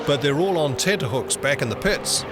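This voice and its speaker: A man narrating a documentary in a brisk, measured way and asking interview questions. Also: a little high, animated. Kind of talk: scripted narration. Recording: loud race-car noise behind. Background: race cars.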